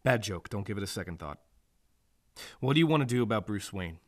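A man playing a cynical, driven lawman with dark humor in a noir radio drama, wry in delivery. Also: on the low side, animated, fairly quick, natural voice. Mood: sardonic.

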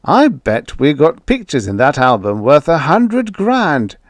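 A man's voice: a little high, huge pitch swings, measured pace, dry recording, pitched above the performer's own voice. A man voicing a greedy, desperate schemer who presses and wheedles. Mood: greedy pushing. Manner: pushy, wheedling.

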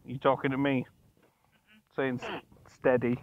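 A man's voice: medium pitch, level tone, unhurried. A man chatting casually as he rides a motorbike, with cheeky, sweary banter, speaking into a helmet mic. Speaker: motovlogger. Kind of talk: casual chat while riding. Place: outdoors on a motorcycle ride. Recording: muffled helmet mic, loud vehicle noise. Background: motorcycle engine and road noise.

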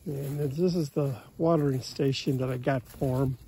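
A folksy American man narrates farm chores in an open pasture. His voice is very dark and mellow, with a creaky, husky grain, and he talks casually into a handheld phone. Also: medium pitch, steady tone, normal speed. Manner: folksy, plain-spoken. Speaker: cattle farmer, vlogger. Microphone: handheld phone held by the speaker behind the camera.

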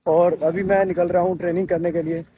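High-pitched man vlogging over noisy ambience. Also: level tone, brisk, mellow. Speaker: fitness vlogger. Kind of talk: casual vlog chat to camera, Hindi mixed with English. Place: outdoors on busy streets, later inside a gym. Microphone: phone held at arm's length. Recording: noisy street and gym ambience, traffic.

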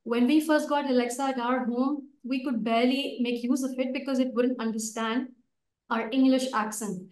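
Woman in her 30s, urdu her first language, reading out a debate speech in a steady listing way, highish voice, roomy echo, kinda far from the mic. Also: normal speed. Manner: steady, list-like delivery. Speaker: debate speaker, evaluator. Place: home room. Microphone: video call. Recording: echoey video call from far off.

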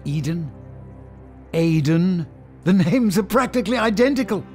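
An actor playing an anguished, excitable professor and protective father in an audio drama, with a halting, emphatic delivery. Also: high-pitched, soft background music. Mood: excited realisation. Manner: halting, repeats himself, emphatic.

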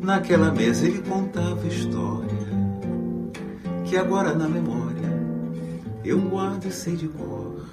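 A woman with a Brazilian accent delivering song lyrics slowly, with guitar behind her. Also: on the low side, lively intonation. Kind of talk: slow sung-style lyrical delivery. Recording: echoey, loud guitar music behind. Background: guitar music.

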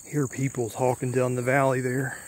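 A man's voice: medium pitch, completely flat delivery, normal speed, a little hiss or hum. A man vlogging as he walks an overgrown country road, a little tired, thinking aloud in a loose, rambling way. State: a little tired from a long walk. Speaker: vlogger exploring an abandoned road. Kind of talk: casual walking vlog. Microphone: handheld or body camera while walking. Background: faint insects and rural ambience.

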